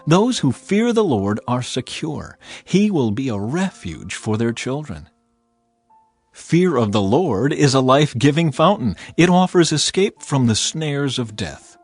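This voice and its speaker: A man reading scripture as a dramatized audiobook narrator, with a solemn, measured and expressive delivery. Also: mid-range voice, slightly rough, no room sound, faint music behind.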